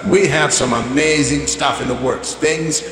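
A man's voice: a little high, measured pace, fairly bright tone, heavy reverb, in a large hall. An actor playing a crude, irritable male corporate CEO who swears his way through a rambling holiday address. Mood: pompous.

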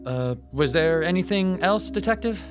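Timid male shopkeeper: higher-pitched, hesitant, evasive, animated delivery, radio drama. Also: moderate pace, faint music behind. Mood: nervous evasive. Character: timid, defensive male comic shop owner hiding guilt.

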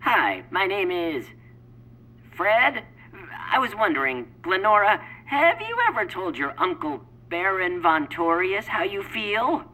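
A nervous, awkward caller putting on a disguise in a comic audio drama. The speech is slow and halting, in a bright, forceful voice with swooping pitch. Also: female voice, sing-song, full character voice, rough recording. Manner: halting and stumbling.

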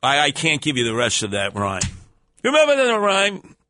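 Male New radio host, brash and theatrical, bright voice. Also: wildly swooping pitch, moderate pace, no room sound. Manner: brash, mocking, theatrical swings in pitch. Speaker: radio talk show host. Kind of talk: talk radio banter with callers. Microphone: studio microphone.

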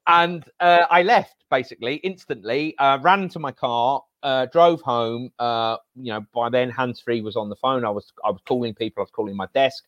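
A loud-voiced man in his forties, a sports journalist from Northeast England, tells a story with animated energy. His voice leaps up when he gets excited. The sound is dry and a little dull, close to the mic. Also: mid-range voice, moderate pace, hardly any pauses, no room sound. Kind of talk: casual podcast chat.

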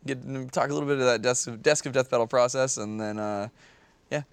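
A man's voice: mid-range voice, fairly quick, clear, resonant tone, no room sound. A man co-hosting a podcast, bantering casually and loosely with the panel. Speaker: podcast co-host.

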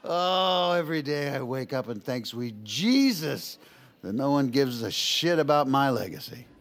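An actor playing a goofy, folksy, boozy vice president in a comedic audio drama, with a stammering, rambling, crude and jokey delivery. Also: male voice, mid-range voice, very animated, measured pace. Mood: gossipy, exasperated.

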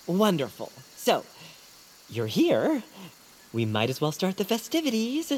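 A woman's voice: lowish voice, wildly swooping pitch, unhurried, a little mellow, a little hiss or hum, rough recording. A woman acting the part of a timid, polite, fretful friend with hesitant, genteel, old-fashioned phrasing in an audio drama. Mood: polite, gentle. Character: timid, fretful, polite friend named Noah, afraid of heights. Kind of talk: audio fiction acting in a quaint old-radio manner.

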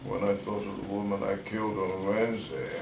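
An actor playing a bitter, middle-aged former doctor, drunk and halting with guilt and self-pity, in an audio drama. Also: male voice, lowish voice, little pitch movement, gravelly. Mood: guilt-ridden, despairing. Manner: halting, self-pitying, swearing, drunken rambling. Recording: roomy, distant, noisy audio drama recording.

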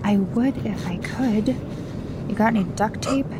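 An actress playing a sleepless, uneasy woman investigator in a naturalistic audio drama, speaking haltingly. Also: natural voice. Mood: wry, tired. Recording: loud rumbling airplane cabin noise.

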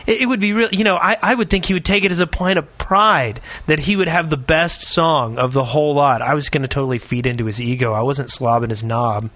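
Bright-voiced man, halting podcast banter. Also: on the high side, no room sound. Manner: halting, repeats words. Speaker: podcast co-host. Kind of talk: casual group banter.

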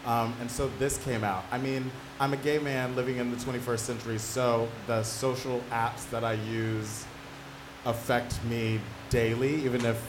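A man with a mid-range voice answers interview questions in a casual, conversational way. The room is very echoey, with clear noise around him. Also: mid-range voice, little pitch movement, moderate pace. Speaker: playwright being interviewed. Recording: echoey, noisy live room.

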